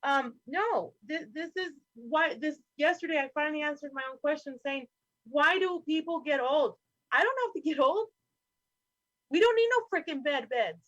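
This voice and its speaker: A woman with a high voice delivers a fired-up, swearing, rambling rant as a roundtable guest. Her audio comes through a dull, lo-fi remote call with a dry, close sound. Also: lively intonation, normal speed, fairly bright tone, no echo. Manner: impassioned, profane, rambling rant. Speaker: podcast guest. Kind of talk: roundtable discussion.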